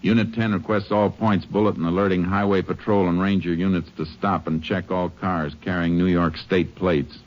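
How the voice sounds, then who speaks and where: An actor playing a calm, methodical Texas Ranger in a 1950s radio western, speaking in clipped, matter-of-fact phrases. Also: male voice, low voice, moderate pace, few pauses, close to an everyday voice. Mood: brisk, official. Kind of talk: 1950s radio western drama acting and narration. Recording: dull old radio recording with clear hiss.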